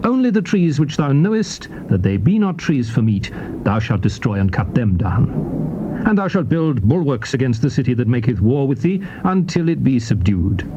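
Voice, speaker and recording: A man reads scripture in a solemn, declamatory style, his mid-pitched voice rising and falling dramatically. The delivery is measured and formal, like a staged narration. It sounds like a dull old cassette with clear noise over it. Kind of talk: dramatized, solemn Bible reading. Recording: dull, noisy old cassette tape recording.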